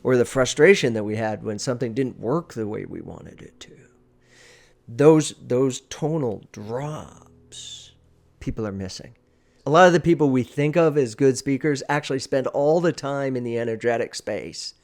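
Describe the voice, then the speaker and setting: A man's voice: mid-range voice, expressive, moderate pace, gravelly, darkish tone. Male presentation coach, emphatic and instructive. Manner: emphatic, repeats key phrases for stress. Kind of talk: informal instructional talk.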